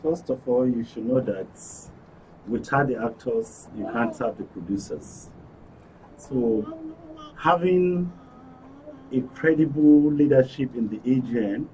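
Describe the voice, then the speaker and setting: Nigerian guy guest explaining stuff, measured, mellow voice, bit far from mic, faint noise. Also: medium pitch. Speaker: film producer guest. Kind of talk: explanatory interview answer.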